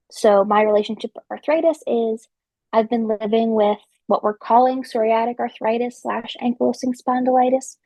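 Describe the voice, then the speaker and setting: Young woman guest, twenties, from New Jersey; talks chatty and loose, full of ums and likes; voice on the high side. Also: darkish tone, dry recording, rough recording. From central New Jersey. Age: 20s. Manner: chatty, frequent ums and likes, slips into technical terms. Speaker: podcast guest. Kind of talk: casual conversational storytelling.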